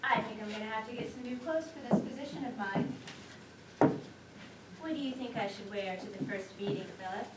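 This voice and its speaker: An actress on stage playing a scatterbrained, chatty wife, dithering yet sure of herself, with emphatic theatrical delivery. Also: female voice, a little high, a little fast, natural voice. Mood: breezy. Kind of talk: live one-act stage play acting. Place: theater stage, picked up from a distance. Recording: roomy, distant, noisy theater recording.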